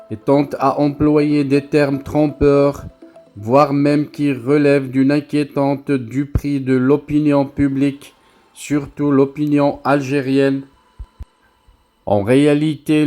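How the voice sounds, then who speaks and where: Male news commentator, scripted narration, unhurried, mellow tone, deliberate emphasis, light background music. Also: fairly high pitch.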